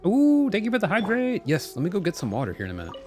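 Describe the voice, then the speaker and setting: A man's voice: high voice, wildly swooping pitch, rapid-fire, darkish tone, faint music behind. A man chatting casually as a game streamer, talking to himself and to his viewers.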